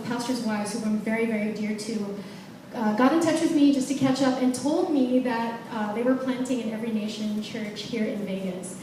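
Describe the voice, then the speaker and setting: A woman's voice: fairly high pitch, noisy background. A woman in her forties from the Philippines, a guest speaker sharing a personal testimony in a chatty, self-deprecating way. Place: large church hall. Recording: echoey hall, distant mic.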